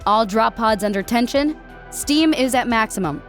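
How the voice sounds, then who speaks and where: A woman's voice: on the high side, expressive, moderate pace, faint music behind, natural voice. A woman voicing a professional female military ship announcer with clipped, formal procedural calls. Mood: procedural, composed.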